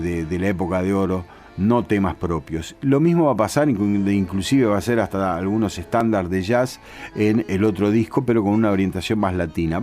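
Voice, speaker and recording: A man's voice: fairly low pitch, a little mellow. A man with an Argentine Spanish accent hosts a music programme, explaining things and sometimes searching for his words. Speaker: radio host and music programmer.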